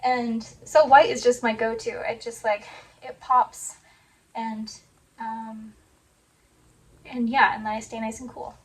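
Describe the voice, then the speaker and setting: A woman with a hoarse voice, still recovering from laryngitis, rambles softly through a casual sewing vlog, padding her thoughts with "like" and "um". Her voice sits a little higher than usual and moves in a narrow, even band. She is recorded on a phone in her home sewing room and sounds somewhat far from it. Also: slow pace, long pauses. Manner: soft-spoken, rambling, many "like" and "um" fillers. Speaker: sewing vlogger. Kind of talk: casual chat to camera. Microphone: phone camera.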